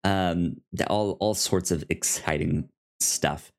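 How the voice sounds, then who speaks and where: A man hosting a casual podcast discussion, speaking in a halting way with repeated words. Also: low-pitched, creaky. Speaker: podcast host.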